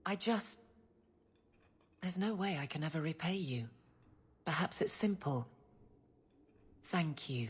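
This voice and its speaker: An actress playing a blunt, earnest woman guard captain who gets flustered and halting, in cinematic video game dialogue. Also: low-pitched, animated, husky, full character voice. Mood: warm, grateful.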